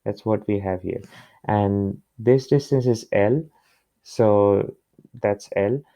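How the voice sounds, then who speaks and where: Slow, very mellow male tutor. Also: on the low side, no echo. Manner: halting, with trailing pauses and repeats. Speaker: physics tutor. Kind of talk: explaining a worked problem step by step. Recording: dull, muffled lo-fi close recording.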